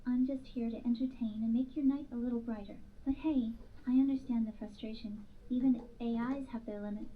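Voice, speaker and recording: Brief: synthetic female voice as a sassy AI chat companion, slightly high, phone-thin playback. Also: steady tone, brisk, few pauses, natural voice. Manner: playful, teasing, cheeky banter. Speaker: AI companion app voice. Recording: tinny phone-like speaker playback.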